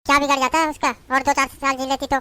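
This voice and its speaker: A woman's voice: sits high, level tone, quick pace, sharp tone. A woman playing a harsh middle-aged mother-in-law who berates and taunts her daughter-in-law in a family drama. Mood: scolding.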